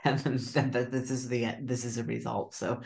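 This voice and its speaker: A woman's voice: a bass voice, flat delivery, moderate pace, vocal fry, darkish tone. A middle-aged woman joins a book club by video call, talking about her writing habits in a loose, chatty way. She sounds self-deprecating and laughs easily as she goes. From Nova Scotia, Canada. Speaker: novelist guest. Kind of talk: casual book club conversation.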